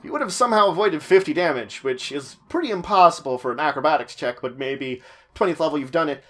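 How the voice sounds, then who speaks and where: High-pitched man giving a chatty gaming explainer. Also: lively intonation, quick pace, fairly bright tone. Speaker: host of a tabletop roleplaying guide series. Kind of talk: semi-scripted informal explainer to viewers.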